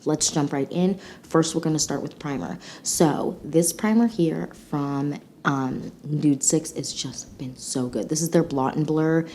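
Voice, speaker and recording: Casting: female beauty vlogger, low-pitched and nasal from a mild cold, gushing casual delivery, faint rumble behind. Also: a little slow, hardly any pauses, mellow. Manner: gushing, enthusiastic. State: slightly sick, congested. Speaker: beauty channel host. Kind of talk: casual chatty product review to camera.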